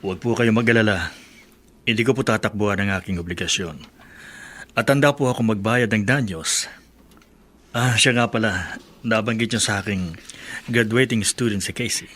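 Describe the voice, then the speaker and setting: A man's voice: fairly low pitch, moderate pace, pauses often, fairly bright tone. An actor playing a responsible businessman in a Filipino radio drama, speaking with a Filipino accent. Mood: polite, apologetic. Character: polite, responsible businessman, teasing with his friend.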